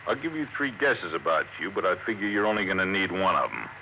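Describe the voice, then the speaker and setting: An American actor playing a menacing, mocking gangster in 1950s radio drama, with taunting, slangy tough talk. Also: male voice, low-pitched, sing-song, fast. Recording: muffled, noisy 1950s radio recording.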